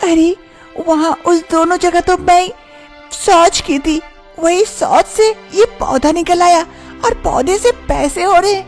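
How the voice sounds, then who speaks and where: A woman's voice: very high-pitched, takes it slowly, a little mellow, soft background music, voice pushed up, full character voice. A woman voicing a timid, scheming young wife in a storybook tale, who frets and mutters to herself. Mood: eager. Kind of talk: storybook voice acting.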